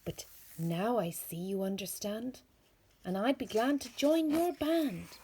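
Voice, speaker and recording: A woman voicing a small, eager red bird in a children's storybook, earnest and plain-spoken, with a husky edge. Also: mid-range voice, animated, takes it slowly, mellow. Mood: proud, forgiving.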